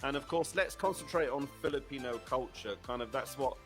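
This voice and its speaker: A man's voice: medium pitch, a little fast, a bit bright, faint music behind. A man hosting a casual online chat show, chatty and full of restarts. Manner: chatty, with many restarts and fillers. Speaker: host.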